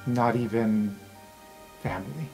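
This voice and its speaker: A man plays an aloof young woman student in a tabletop roleplay game. The voice is hushed and understated, dropping low and quiet over faint music. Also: takes it slowly. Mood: tender, guarded.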